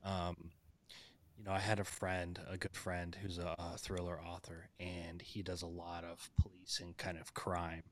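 A man's voice: sits low, completely flat delivery, measured pace. An American man hosting a casual interview, rambling with many fillers and frequent laughs. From United States. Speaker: podcast host.

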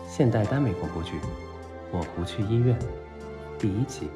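A man's voice: on the low side, animated, normal speed. A man reading a scripted title announcement as the announcer of an audio drama. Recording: roomy reverb over prominent music.

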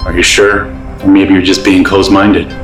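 A man's voice: low voice, flat delivery, normal speed, fairly bright tone, faint music behind. An actor playing a sleepless, mistrustful man in an audio mystery drama. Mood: suspicious.

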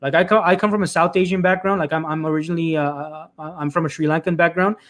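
A man's voice: a little high, fairly even intonation, fast, fairly bright tone. A man hosting a live stream as a career coach, giving emphatic advice to job seekers, often repeating himself and calling his audience "folks.". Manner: frequent restarts and filler "uh".

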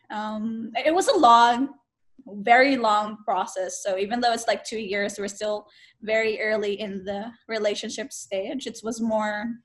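Woman interviewer, casual, giggly, slightly high voice. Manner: laughs often, many "like" fillers. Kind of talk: casual chat.

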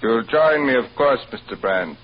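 Haughty hotel manager, played by a man: deliberate, drily sarcastic, superior, very inflected, on a dull, faintly noisy old radio recording. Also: medium pitch, expressive, natural voice. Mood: smugly genial. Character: haughty, condescending male hotel boss, testy and needling. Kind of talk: old-time radio drama acting.

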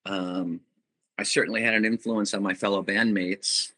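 Low-voiced, forceful middle-aged man. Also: steady tone, breathy edge. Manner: reflective, pausing to find words. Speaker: musician guest, band singer. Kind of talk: casual interview answers.

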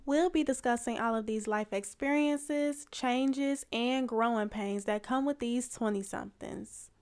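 Twenties woman, podcast host, high pitch, casual and curious interviewer. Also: a little slow, darkish tone, clear, resonant tone. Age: 20s. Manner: chatty, asks follow-up questions, uses y'all.

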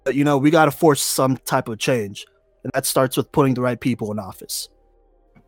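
A man's voice: mid-range voice, normal speed, dry recording, soft background music. Earnest man, dry close mic. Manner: earnest, many "you know" fillers. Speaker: podcast co-host. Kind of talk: casual opinion talk.

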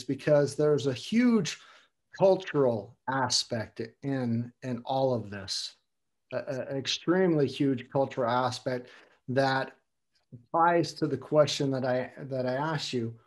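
A man's voice: mid-range voice, a little slow, a little mellow. An older man hosting an interview, asking long, meandering questions and repeating words as he thinks. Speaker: host. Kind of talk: casual interview.

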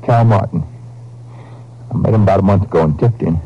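An American actor playing an evasive, whining small-time crook in a 1950s radio crime drama, slangy and protesting. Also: male voice, low-pitched, a little fast, breathy edge. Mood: resigned, confessing. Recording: muffled 1950s radio broadcast recording.

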